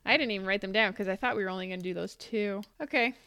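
A woman's voice: medium pitch, very fast, pushed and bright, clean tone, dry recording. A woman co-hosting a casual podcast chat, playful and teasing and often laughing. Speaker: podcast co-host.

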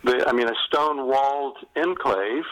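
An older American man from North Carolina telling stories as an interviewed novelist, in an animated, conversational way with emphatic repetition. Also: mid-range voice, moderate pace, rough recording. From North Carolina, United States. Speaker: novelist being interviewed as guest.

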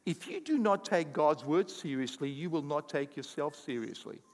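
High-voiced emphatic preacher man in a hall. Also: lively intonation, moderate pace. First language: not English. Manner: emphatic preaching with rising bursts and asides. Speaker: pastor. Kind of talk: sermon.

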